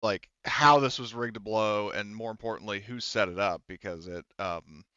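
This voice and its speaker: A man's voice: lowish voice, normal speed, sharp tone, clean tone, dry recording. A man game-mastering a tabletop roleplaying game for friends, casually narrating and hesitantly thinking aloud, on slightly dull audio.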